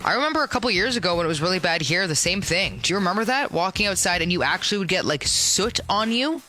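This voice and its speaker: A woman with a raspy voice hosting a radio podcast, chatting casually and playfully with big exclamations. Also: lowish voice, expressive, fast, sharp tone, soft background music. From Ontario, Canada. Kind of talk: casual chat. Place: radio studio.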